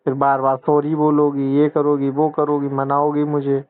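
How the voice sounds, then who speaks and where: A man's voice: on the high side, completely flat delivery, normal speed. A man arguing over a phone call, lecturing and scolding his partner. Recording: tinny phone line.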